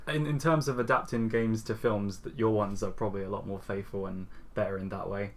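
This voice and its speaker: A man's voice: fairly low pitch, fairly even intonation, brisk. A young man co-hosting a podcast and chatting casually about films. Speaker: podcast co-host.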